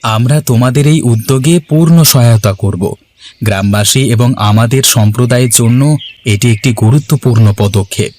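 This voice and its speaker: A man playing an earnest, grateful villager in a cartoon, talking in formal, measured speeches. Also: mid-range voice, a little mellow, slight background noise, close to an everyday voice. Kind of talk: scripted cartoon dialogue.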